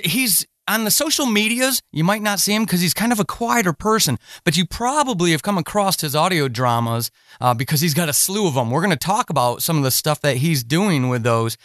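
A man's voice: sits high, a bit bright, dry recording, clean studio sound. A man hosting a conversational podcast interview in an enthusiastic, effusive manner.

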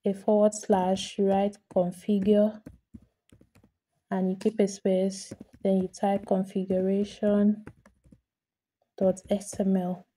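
Mellow-voiced woman, level and unhurried, walking viewers through a computer setup one click at a time. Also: mid-range voice. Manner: steady step-by-step instruction, repeats each step. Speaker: tutorial host. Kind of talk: screen-recorded software tutorial.

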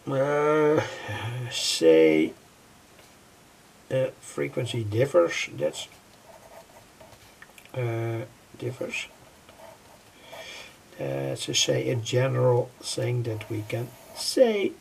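Slow, gravelly older man explaining. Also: medium pitch, big pitch swings, a little hiss or hum, rough recording. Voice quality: husky, gravelly. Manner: halting, repetitive, pieced-together phrases. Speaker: electronics hobbyist presenter. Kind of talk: unscripted tutorial explanation. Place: indoors at a worktable.

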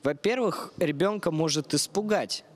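Young male, higher-pitched, earnest, arguing, studio audience participant. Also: moderate pace. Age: young adult. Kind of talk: earnest argument in a studio discussion. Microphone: handheld microphone held out to him.

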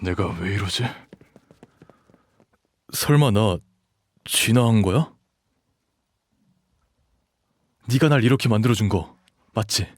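A man's voice: lowish voice, animated, a little slow, long pauses, no echo. A young man playing an earnest, protective dog shifter in a romantic audio drama. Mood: wondering, devoted. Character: gentle, devoted young dog shifter, wounded but protective.

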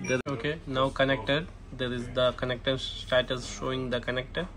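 A man who is not a native English speaker gives a hands-on setup walkthrough in short, halting phrases with frequent okays. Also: medium pitch, flat delivery, fairly bright tone, faint music behind. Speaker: tutorial presenter, technician. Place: indoor shop or office. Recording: noisy room, clear background noise.

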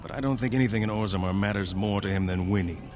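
A man's voice: fairly low pitch, quick pace, darkish tone, natural voice. An actor voicing a sardonic, rebellious male dwarf noble in a video game, with a dry, self-mocking delivery. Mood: wry.

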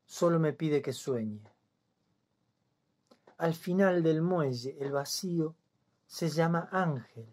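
A man in his fifties with a Buenos Aires accent reads poetry solemnly and deliberately, leaving long pauses. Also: a little high, darkish tone. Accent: Buenos Aires Spanish. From Buenos Aires province, Argentina. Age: 50s. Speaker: poet.

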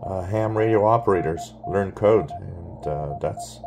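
A man giving a casual hobbyist demonstration in a halting way with frequent pauses. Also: sits low, creaky, music in the background. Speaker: radio hobbyist presenter. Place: indoors beside a radio transceiver. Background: Morse code tones from a radio.

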